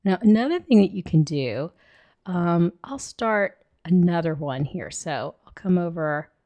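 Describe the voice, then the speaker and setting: A woman with a cold and a hoarse voice, talking through a screen-recorded software tutorial and thinking aloud as she clicks. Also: lowish voice, expressive, measured pace. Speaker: illustrator teaching software.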